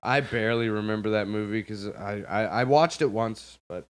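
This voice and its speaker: A man co-hosting a gaming podcast in casual banter, blunt, opinionated and quick to swear. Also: fairly low pitch, smooth.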